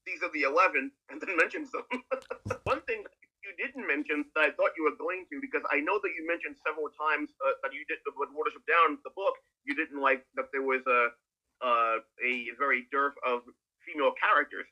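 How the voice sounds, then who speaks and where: A Canadian man chats casually in a highish, very bright and forceful voice. He is animated and often repeats words in bursts. The recording is lo-fi, with a dulled top end. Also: fairly quick. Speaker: podcast guest co-commentator. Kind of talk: casual discussion.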